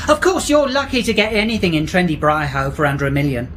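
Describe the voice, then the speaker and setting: A smarmy estate agent. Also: male voice, fairly high pitch, big pitch swings, quick pace, a bit bright, a little hiss or hum. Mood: salesy. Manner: smooth, ingratiating sales patter. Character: smarmy male estate agent, showy salesman. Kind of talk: radio drama acting.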